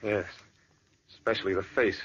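An earnest young hospital doctor, played by an actor, eager and quickly flustered. His voice is bright and forceful over a muffled old radio recording with faint hiss. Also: on the low side, steady tone, close to an everyday voice. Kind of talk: 1940s radio acting.

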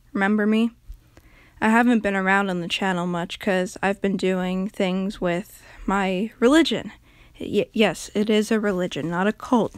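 A woman voicing a solemn, intimidating religious zealot in a low, quiet, emotionless monotone with measured delivery. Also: dry recording, clean studio sound, lightly acted. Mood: flat, guarded.

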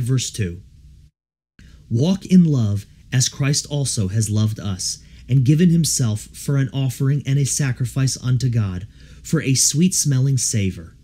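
A man reading a devotional audiobook in an earnest, measured, formal way. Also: mid-range voice, warm tone, husky. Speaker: audiobook reader. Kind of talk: scripted audiobook narration of a devotional text.

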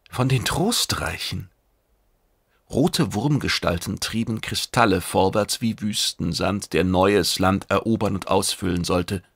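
A man's voice: on the low side, gravelly, a bit bright, slightly rough, dry recording, studio quality. A man reading a science fiction audiobook as a dramatic scripted narration.

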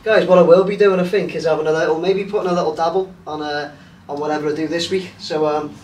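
A man's voice: on the high side, fairly even intonation, fast, a little mellow. A man hosting a casual football chat show, rambling and hesitant with lots of fillers. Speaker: host. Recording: roomy, distant mic, faint hiss.

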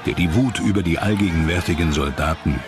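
Male documentary narrator, deep, measured, dramatic scripted read, over a music bed.

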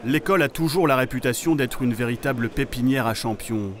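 A man's voice: medium pitch, fast, a little hiss or hum. A man reading scripted documentary narration as the narrator. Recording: music behind the voice-over. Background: music.

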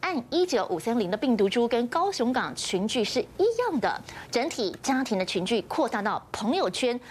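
A woman's voice: on the high side, very animated, normal speed, slight background noise. A young woman presenting broadcast news in a TV studio as an anchor, with a Taiwanese Mandarin accent.

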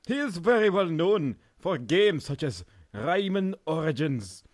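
A man's voice: a little high, very animated, a little slow, no echo, clean studio sound. A man playing a pompous, rambling, absurdly reverent expert in an improvised mock documentary. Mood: self-important.

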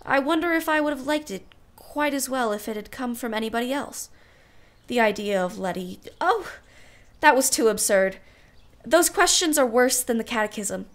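A genteel aunt in a radio play, acted by a woman, with a fairly high voice. She questions herself in old-fashioned phrases, wry and easily flustered as she wavers over romance. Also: expressive, moderate pace, close to an everyday voice. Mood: wavering, amused. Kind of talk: radio play acting, musing monologue.

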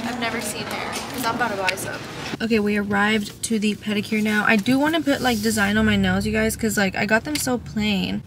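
A woman in her twenties chatting casually to camera in an animated, slangy way, swearing freely. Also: mid-range voice, fairly quick. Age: 20s. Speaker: vlogger. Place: car, shops, parking lot, cluttered bedroom. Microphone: handheld or propped vlog camera. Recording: noisy handheld camera audio.

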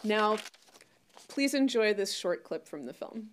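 A woman's voice: medium pitch, expressive, unhurried. A woman in her thirties with an American accent reading out awards as a scripted presenter. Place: indoors before a curtain.

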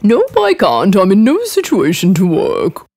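A woman voicing a slow, lazy, reluctant cow with a drawl in a children's cartoon. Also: medium pitch, huge pitch swings, darkish tone, full character voice. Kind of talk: children's cartoon story acting.

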